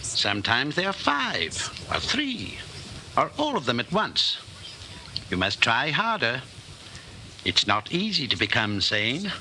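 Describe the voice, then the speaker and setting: A man playing a calm, menacing Party interrogator in 1940s radio drama style, measured, formal and condescending. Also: medium pitch, exaggerated voice. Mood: condescending, patient. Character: calm, menacing male Party interrogator, patient and coldly superior. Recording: noisy vintage 1940s radio broadcast.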